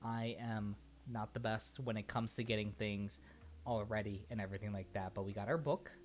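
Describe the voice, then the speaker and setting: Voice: mid-range voice, fairly even intonation, moderate pace. A livestream host chatting casually and rambling from thought to thought, with an easy habit of laughing and swearing.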